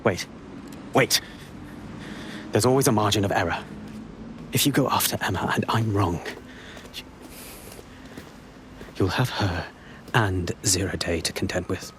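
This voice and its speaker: Anxious game spy analyst, deep, grave and halting, earnest, faint engine noise behind. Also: male voice, fairly bright tone. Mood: anxious warning. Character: weary, fearful male intelligence analyst. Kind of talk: video game voice acting.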